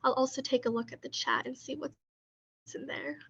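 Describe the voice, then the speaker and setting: A woman co-curator hosting a poetry gathering on a video call, reading a poem in a measured way and then talking casually with a few ums. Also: high voice, fairly even intonation, gravelly, fairly bright tone, breathy edge, highs cut off. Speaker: co-curator and discussion host. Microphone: video call computer mic.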